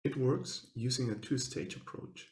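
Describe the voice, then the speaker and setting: A man giving a scripted technical presentation as a researcher, speaking formally and in measured, step-by-step phrases. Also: mid-range voice, expressive, darkish tone, echoey room.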